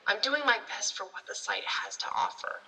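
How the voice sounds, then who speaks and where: Stranded female scientist, played by a woman, radio drama. Tense bright voice, pleading, halting, recorded far off in long reverb. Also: medium pitch, wildly swooping pitch. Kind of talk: radio drama acting, logged transmission monologue. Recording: echoey, distant, long reverb.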